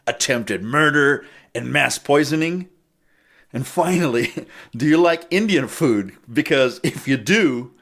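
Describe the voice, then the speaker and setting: A man hosting a talk show, giving a brash, teasing monologue. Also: medium pitch. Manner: brash, teasing, lively delivery. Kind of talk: casual monologue.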